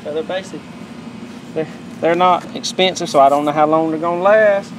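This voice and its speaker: A man's voice: fairly high pitch, steady tone, moderate pace. A middle-aged man, chatting casually and rambling as he shows off upgrades to his boat in a backyard. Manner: rambling, folksy, self-correcting. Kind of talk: casual show-and-tell. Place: backyard outdoors. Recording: outdoor camera audio with loud background noise.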